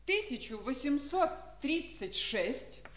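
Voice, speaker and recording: High lilting female teacher, patient, exasperated, instructing, old audio play with faint hiss. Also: sing-song, slow pace, exaggerated voice. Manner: instructing, reproachful. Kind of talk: Soviet children's audio play acting.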